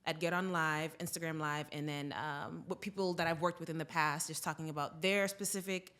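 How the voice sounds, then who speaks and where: Female podcast guest from Boston, slightly low voice, informal conversational storytelling. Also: fairly even intonation, a little fast, a bit bright. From Boston, United States. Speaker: podcast guest, advertising professional. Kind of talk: casual conversational interview storytelling.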